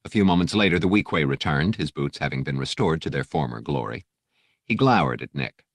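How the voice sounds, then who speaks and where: A man narrates an adventure audiobook in an extremely low voice. The reading is scripted storytelling, captured close with a dry sound. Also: lively intonation, fairly quick, dry recording.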